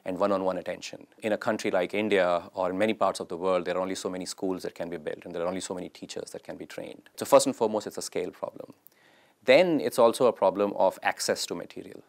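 A man's voice: sits low, fairly even intonation, fast, creaky. A man in his thirties giving an explanatory interview as a member of a product team.

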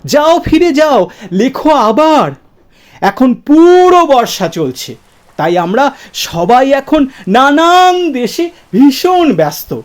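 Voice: high-pitched, sing-song, takes it slowly, voice pushed up, full character voice. A poetry reciter voicing a capricious young cloud-girl, bossy and teasing, with a lilting delivery over music. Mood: brisk, consoling. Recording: music bed behind the voice.

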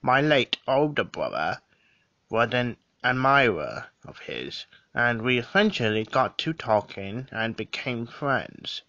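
Guy doing defensive gentleman suspect, formal n earnest, animated pitch, dry audio drama recording. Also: slow pace, no room sound, highs cut off, full character voice. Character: defensive, well-spoken gentleman murder suspect. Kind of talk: scripted audio drama acting.

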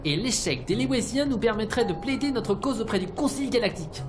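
A woman's voice: on the high side, lively intonation, fairly quick, over music, lightly acted. A woman playing an earnest, defensive male scientist of small stature in an audio drama, speaking in formal, wordy phrases. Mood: earnest, proposing.

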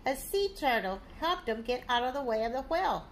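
A middle-aged woman, a teacher reading a picture book aloud to young children in an animated, simple way. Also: high-pitched, brisk, slight background noise. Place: living room at home, indoors.

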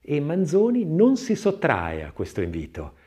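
Expressive male narrator with lively intonation. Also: a little high. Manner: expressive, measured literary reading with deliberate phrasing. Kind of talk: scripted audiobook narration of an art-history essay, with recited verse.

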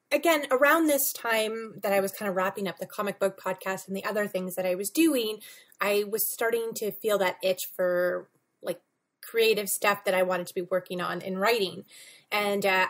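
A woman in her thirties talking casually and informally as a guest in an interview. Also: medium pitch. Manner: chatty, informal, peppered with "like". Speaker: podcast guest, comic book writer and editor. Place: home room with bookshelves, video call.

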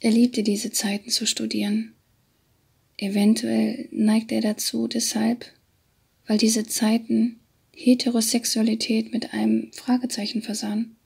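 Breathy, half-whispering woman narrator. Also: fairly high pitch, level tone, a little slow, a little mellow. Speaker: audiobook narrator. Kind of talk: hushed scripted literary narration.

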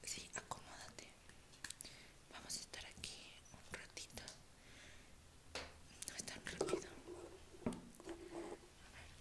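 A young woman in a whispered ASMR roleplay as a dentist, breathy and close to the mic, reassuring and unhurried. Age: young adult. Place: small indoor room.

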